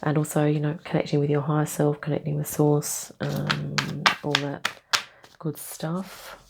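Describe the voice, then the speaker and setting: A woman giving a casual tarot reading, rambling gently with lots of ums and okays, a bit nasal from a cold. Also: deep voice, monotone, unhurried, vocal fry, very noisy. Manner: meandering, many ums and okays, gentle reassuring tone. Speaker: tarot reader.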